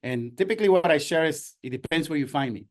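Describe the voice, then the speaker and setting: A man's voice: on the high side, lively intonation, quick pace. A man in his forties, born in Ecuador, presenting a workshop in an earnest way with frequent fillers and restarts. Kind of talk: presentation talk.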